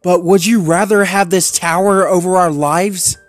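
A man's voice: mid-range voice, measured pace, darkish tone, dry recording, faint music behind, voice pushed up, natural voice. A man voicing a determined young male Labrador dog who talks in an intense, resolute way. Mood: growling.

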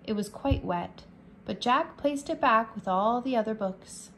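A woman teacher reads a children's chapter book aloud at a very slow, deliberate pace. Her voice sits a little high and every word is clear. It sounds like a careful lesson read-aloud. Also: lively intonation, slight background noise. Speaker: English teacher. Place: indoors at a wooden table.

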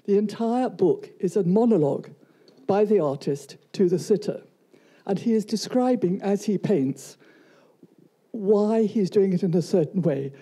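A woman's voice: mid-range voice, expressive, unhurried, warm tone, husky. A woman in the audience telling a short anecdote that leads into her question for the painter. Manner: storytelling, leading into a question. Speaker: audience member asking a question. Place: college event hall with an audience.

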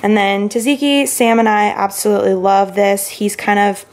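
A woman's voice: mid-range voice, normal speed, a little mellow, slight background noise. A young woman vlogger chatting casually and upbeat about her shopping, filmed at home with a handheld camera. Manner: chatty and upbeat, lots of fillers. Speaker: lifestyle vlogger. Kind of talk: casual grocery haul chat. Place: indoors at home, kitchen counter. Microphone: handheld camera at arm's length.

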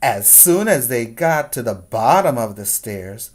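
Male preschool teacher, forties, slow pace, expressive storybook read-aloud, deliberate phrasing. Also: medium pitch. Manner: deliberate, expressive, checks in with listeners. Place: small plain room indoors. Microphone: webcam at arm's length.

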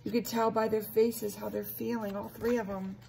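A middle-aged woman reading a children's story aloud like a teacher, expressive and emphatic for young pupils. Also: a little high, normal speed, vocal fry, low-quality recording. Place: small classroom, indoors. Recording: noticeable room noise on device mic.

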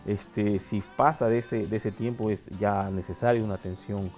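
A man in his forties, a doctor guest on a TV health show, explaining treatments in a steady, methodical way with a lapel mic in a studio. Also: fairly low pitch, moderate pace, faint music behind. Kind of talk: medical explanation.

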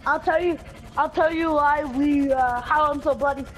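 A high-voiced game player chatting casually in improvised roleplay, often repeating words and restarting sentences. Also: steady tone, normal speed, fairly bright tone, slight background noise, poor audio. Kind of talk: casual improvised roleplay chat during gameplay.

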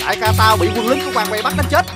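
A man playing a bullying bandit henchman in an animated folk tale, rough and insistent. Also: high-pitched, very fast, loud music behind the voice, natural voice. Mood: threatening. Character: menacing, demanding bandit henchman.

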